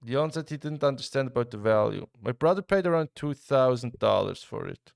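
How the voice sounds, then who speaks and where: A man's voice: mid-range voice, brisk, creaky, no room sound, studio quality. A young man from Switzerland streaming a game live, chatting casually with his viewers and reacting as he plays, often restarting his sentences. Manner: relaxed, chatty, talks to chat, frequent restarts. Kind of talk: casual live-stream commentary while gaming.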